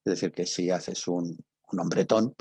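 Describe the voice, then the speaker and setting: Male teacher, Castilian Spanish accent, dark and husky tone, very dry close sound, halting explanatory delivery that checks in with listeners. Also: sits low, steady tone, no room sound. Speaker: teacher of radio drama. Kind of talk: informal spoken lecture.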